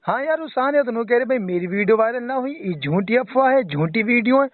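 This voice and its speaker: A man with a Mewati accent talks in a high-pitched voice on a dull, dry phone recording. He is exasperated and emphatic, in a casual, chatty way. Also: animated, brisk, no echo. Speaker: phone call participant. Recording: band-limited phone call recording.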